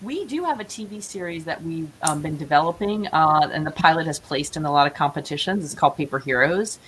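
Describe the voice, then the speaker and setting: Female writer guest, low register, earnest and encouraging, faint hiss on the remote recording. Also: expressive, a little fast, a bit bright. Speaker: interview guest, playwright. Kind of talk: casual remote interview talk. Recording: hissy, noisy remote call audio.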